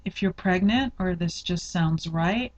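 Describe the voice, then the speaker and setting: Slow-paced woman guiding meditation. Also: on the low side, a little mellow, highs cut off. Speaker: yoga nidra meditation guide. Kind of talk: guided meditation, slow instructional narration.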